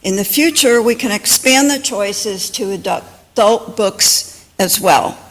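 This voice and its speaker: Slow-paced woman giving announcements in a hall. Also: medium pitch. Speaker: congregation member giving announcements. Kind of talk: informal community announcements. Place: church sanctuary. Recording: reverberant hall.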